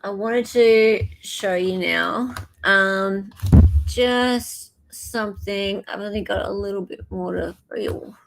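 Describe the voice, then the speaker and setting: A woman's voice: mid-range voice, slow pace, creaky, a little hiss or hum. An Australian woman hosting a casual live stream, chatting in an informal, scattered way.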